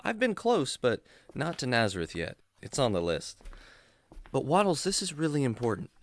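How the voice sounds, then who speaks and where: A man playing a kindly, patient adventurer who explains things gently and earnestly to his animal buddy in a children's radio drama. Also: medium pitch, wildly swooping pitch, moderate pace.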